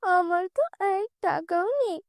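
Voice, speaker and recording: A woman's voice: very high voice, a little slow, no echo, clean studio sound. A woman voicing a humble, kind-hearted, mistreated girl in a children's fairy-tale cartoon, earnest and pleading. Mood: distressed.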